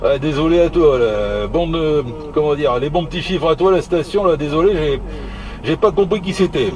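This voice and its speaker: A man talks on CB radio from a noisy vehicle. His voice sits slightly high, and he speaks in halting, repeated bits with a jocular, teasing tone. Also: a little mellow. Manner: hesitant, halting, repeating words. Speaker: amateur CB radio operator. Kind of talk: casual radio chat.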